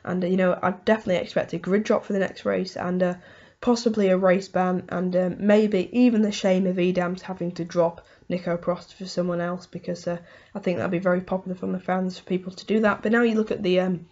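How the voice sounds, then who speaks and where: A woman with a hoarse voice from a cold, hosting a casual motorsport race review and rambling through it with lots of "um" and "you know". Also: on the low side, fairly even intonation, brisk, hardly any pauses, darkish tone, dull audio. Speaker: motorsport video host.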